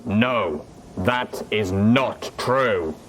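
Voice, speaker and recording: A man plays a grim armored undead soldier from a storybook reading. The voice sits low and growls. Each phrase comes out terse, stern and unbending. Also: animated, extremely slow, fairly bright tone, slight background noise, full character voice. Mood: adamant. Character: gruff stern undead pony soldier in power armor, male.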